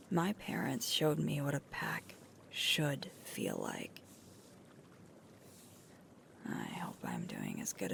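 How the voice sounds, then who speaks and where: Creaky, whispering werewolf girlfriend, played by a woman. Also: a bass voice, heavily acted. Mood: tender, grateful. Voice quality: breathy, creaky, often whispering. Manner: slow, soft, unhurried intimate murmur with pauses. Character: sweet, tired alpha werewolf girlfriend, grateful and caring. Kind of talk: intimate roleplay ASMR. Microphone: close microphone.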